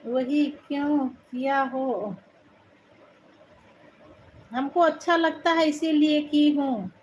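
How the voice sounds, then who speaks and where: A woman's voice: sits high, fairly even intonation, slow pace, slight background noise, low-quality recording. A woman from Uttar Pradesh with an Awadhi-tinged Hindi accent, hosting a live stream, chatting casually and thanking viewers one by one. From Uttar Pradesh, India. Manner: warm thanking, calls viewers brother. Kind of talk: casual live chat reading viewer comments.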